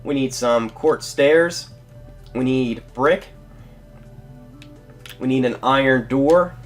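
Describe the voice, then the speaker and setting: A man's voice: medium pitch, takes it slowly, faint music behind. A young man with a congested, stuffy voice narrating a casual building tutorial as a game host, rambling and correcting himself as he goes. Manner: rambling, self-correcting, apologetic. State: congested from a cold. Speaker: Let's Play host.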